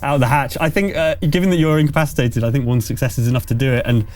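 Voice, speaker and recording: A man's voice: mid-range voice, very fast, a little mellow. A man narrating a tabletop horror game as game master, talking players through rules and outcomes in a conversational way. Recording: faint music underneath. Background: music.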